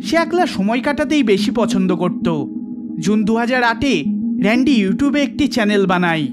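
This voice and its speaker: A man with a very high-pitched voice delivers scripted documentary narration. He tells the story dramatically, and loud music plays behind him throughout. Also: lively intonation, moderate pace. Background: loud background music.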